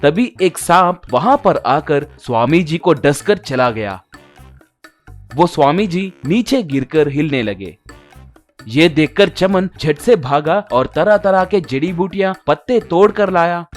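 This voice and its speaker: Male narrator for a children's-style fable: slow, expressive, swooping intonation over light music. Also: a little high. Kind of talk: scripted storytelling narration for a cartoon moral tale.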